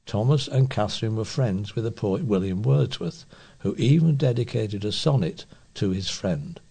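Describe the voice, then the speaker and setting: A British man reads a written feature aloud as a volunteer newsreader. His voice sits fairly low and is noticeably mellow. There is a husky grain to it throughout. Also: lively intonation, normal speed. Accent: British English. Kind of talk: reading a feature article aloud.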